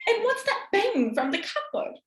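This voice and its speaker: A woman's voice: very high-pitched, big pitch swings, moderate pace, pitched above the performer's own voice. A woman voicing a cheerful, polite young girl in a storybook reading, in an echoey room. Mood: curious.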